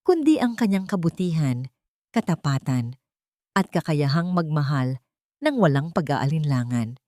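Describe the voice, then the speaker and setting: A woman's voice: low voice, very animated, a little slow, dark tone, dry recording, clean studio sound. A woman reading a scripted love story as an audiobook narrator.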